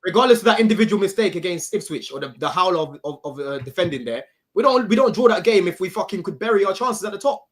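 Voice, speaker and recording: A man's voice: sits high, expressive, fast, few pauses. A young man arguing about his football team on a fan podcast, insistent and repetitive, with London slang. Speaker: Chelsea fan panelist. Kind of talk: heated football fan debate.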